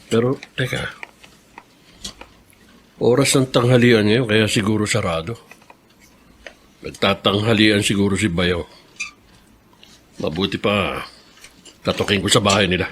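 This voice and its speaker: An actor with a Filipino accent playing a bitter, emotional son who cannot forgive, in a radio drama. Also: male voice, lowish voice, steady tone, unhurried, long pauses, a bit bright, slight background noise. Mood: musing. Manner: harsh, emotional, cutting.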